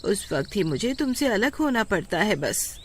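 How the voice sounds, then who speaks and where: A woman's voice: mid-range voice, very animated, normal speed, slight background noise, lightly acted. A woman voicing a lonely, possessive village aunt in a cartoon story, with a dramatic manner. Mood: doting. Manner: dramatic, scolding or doting.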